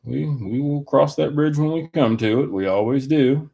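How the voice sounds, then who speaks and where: A man giving a rambling personal opinion monologue in a deliberate, halting manner with wordy, formal phrasing. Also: mid-range voice, gravelly, mellow, slightly rough.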